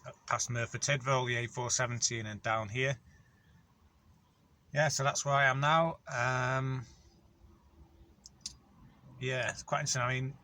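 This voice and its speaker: A man, a van courier chatting through a casual vlog in a rambling, matter-of-fact way with many pauses and ums. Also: medium pitch, level tone, bright, forceful tone. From North Wales. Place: in or beside his van on an industrial estate.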